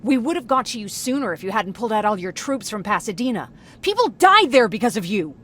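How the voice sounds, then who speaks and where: Feisty, expressive woman survivor. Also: medium pitch, fairly quick. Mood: angry. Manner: blunt, emotional. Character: fiery, defiant female civilian scavenger survivor. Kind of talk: video game dramatic acting.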